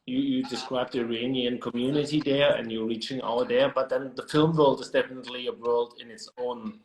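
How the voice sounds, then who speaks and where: A man's voice: mid-range voice, fairly even intonation, brisk, a little hiss or hum, low-quality recording. A man interviewing a musician, asking long, winding questions.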